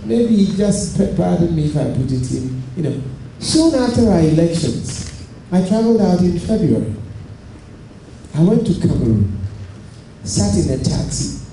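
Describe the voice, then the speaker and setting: A middle-aged Ghanaian man giving a public lecture, measured and deliberate, growing animated when telling stories. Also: fairly high pitch, vocal fry. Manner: measured and deliberate, rising with enthusiasm in anecdotes. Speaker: lecture speaker. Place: lecture hall. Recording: far echoey hall with noise.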